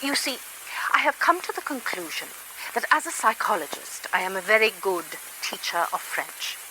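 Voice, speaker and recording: A woman's voice: medium pitch, big pitch swings, moderate pace, bright, forceful tone, slight background noise, poor audio. An actress playing a wry, self-deprecating middle-aged British lady psychologist, narrating a radio drama in a chatty, confiding way. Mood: wry, candid. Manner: chatty, dryly humorous, confiding.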